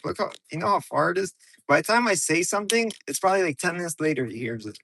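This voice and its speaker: Gravelly, slangy man streaming from car. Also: a little high, lively intonation, fast talker, a bit bright, a little hiss or hum. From Ukraine. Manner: loose and slangy, talks straight to viewers. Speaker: livestream host. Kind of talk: casual rambling livestream monologue. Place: inside his car. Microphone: phone.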